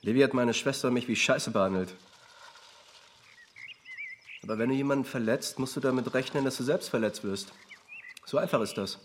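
A man's voice: mid-range voice, fairly quick, long pauses, a little hiss or hum, lightly acted. An actor playing a blunt, resentful teenage boy in a radio drama, talking in youth slang. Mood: bitter.

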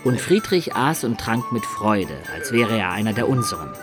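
An actor playing a storyteller who recounts his medieval adventures as measured radio play narration. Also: fairly low pitch, very animated, music in the background. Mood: recounting.

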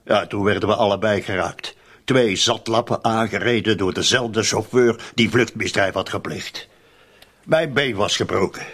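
An actor with a Flemish accent playing a cynical, streetwise murder accomplice in a radio drama, insistently spelling things out in colloquial speech. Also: male voice, fairly low pitch, normal speed, fairly bright tone, breathy edge. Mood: grim, sardonic.

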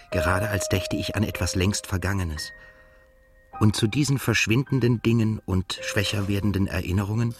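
An actor playing a reflective, melancholy male writer who narrates his own story in a radio drama in measured, literary phrases. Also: low-pitched, close to an everyday voice.

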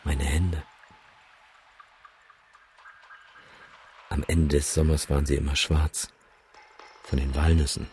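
An actor playing a weary, gruff survivor in a German radio drama, speaking in a blunt, brooding, laconic way. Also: male voice, very deep voice, flat delivery, normal speed, mellow, a little hiss or hum. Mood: wistful. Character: weary, gruff man who survived many trips into a deadly zone.

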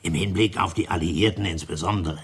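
An actor in a German radio play, playing a grave, formal negotiator with a husky voice and measured delivery. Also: male voice, low voice, full character voice. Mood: formal, insistent.

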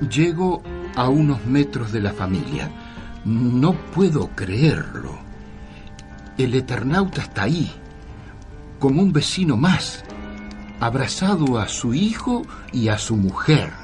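An actor with an Argentine accent playing an old, earnest scriptwriter who narrates and questions in a radio drama. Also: male voice, medium pitch, sing-song, slow pace, darkish tone, soft background music. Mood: storytelling, awed. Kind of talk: radio drama acting and storytelling.

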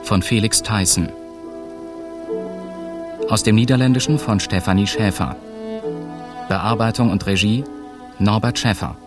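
Slow, low-voiced male announcer. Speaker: announcer of a radio play. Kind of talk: scripted radio drama announcement. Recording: loud music under the voice.

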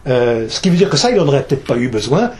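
A man's voice: medium pitch, very animated, fast talker, darkish tone. A man, from Alsace, speaking as an author at a public talk, hesitant, with many fillers and restarts. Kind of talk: lecture with audience questions.

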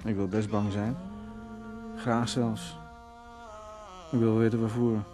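A man's voice: fairly low pitch, level tone, slow. An actor playing a bitter, brooding drunk man in a Dutch radio play, sardonic, morose and terse in manner. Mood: scornful, uneasy. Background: faint music.

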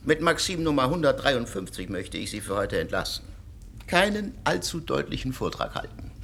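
A cold legal theorist, lectures with measured, doctrinaire certainty. His voice is gravelly and moves through a wide melodic range. A faint noise floor runs underneath. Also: medium pitch, big pitch swings, fairly bright tone. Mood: lecturing. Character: cold, lecturing man, a professor of state law. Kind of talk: radio drama.

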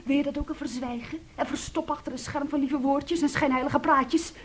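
An actress in a Dutch radio play playing a bitter, possessive, jealous sister with an intense, accusing manner. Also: female voice, high-pitched, fairly even intonation, fairly quick, natural voice. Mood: furious. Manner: intense, accusing, shifting between whispers and outbursts. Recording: noisy old radio play recording.

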